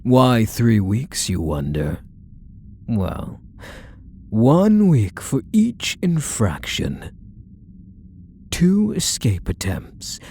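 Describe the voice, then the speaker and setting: A man playing a possessive, controlling kidnapper husband in an ASMR roleplay, deliberate and menacing, with condescending endearments. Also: fairly low pitch, dark tone, a little hiss or hum, full character voice. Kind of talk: scripted ASMR roleplay, addressing the listener.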